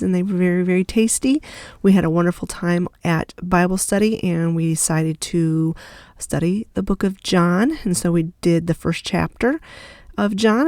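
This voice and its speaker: A middle-aged woman hosting a casual home vlog, chatting in a rambling, self-correcting way, her voice congested and gravelly from a cold. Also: lowish voice, a little mellow. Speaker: vlog host.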